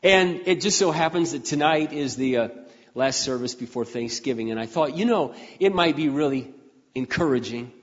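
An older man preaching a folksy, conversational sermon as pastor, often clearing his throat and restarting his sentences. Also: medium pitch, lively intonation, moderate pace. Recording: dull, muffled sound with long hall reverb.

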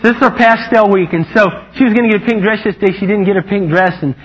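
A man preaching a lively, humorous sermon, animated and playful, acting out quotes in a higher voice. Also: quick pace, slight background noise. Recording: muffled lo-fi recording.

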